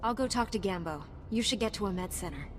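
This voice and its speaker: A woman playing a calm, dutiful Jedi Knight in a video game, measured and earnest in delivery. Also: mid-range voice, faint music behind, natural voice. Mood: firm, calm.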